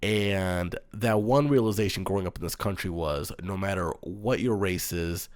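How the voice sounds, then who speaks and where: A man hosting his own sports talk show, speaking in a calm, earnest monotone with frequent pauses and "um"s. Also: low voice, no room sound. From United States. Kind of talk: solo sports commentary.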